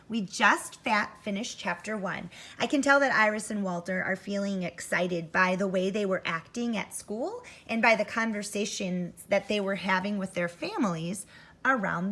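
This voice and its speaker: A woman's voice: medium pitch, fairly bright tone. An American woman teacher doing an interactive read-aloud for first graders, addressing young listeners directly and instructively. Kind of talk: interactive children's story read-aloud with comprehension questions.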